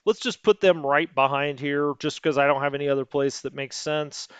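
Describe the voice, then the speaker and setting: A man's voice: a little high, fairly even intonation, normal speed, a bit bright, smooth, no echo. A man hosting a strategy game let's play, thinking aloud in a rambling, hesitant way with lots of fillers and second-guessing. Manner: rambling, self-questioning, hesitant, many fillers.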